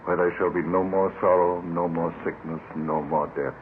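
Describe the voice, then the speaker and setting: A very deep-voiced priest played by a male actor recites solemnly in a flat monotone. The style is 1950s radio drama, and the old recording is tinny with clear background noise. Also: moderate pace, close to an everyday voice. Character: solemn priest praying over the dying.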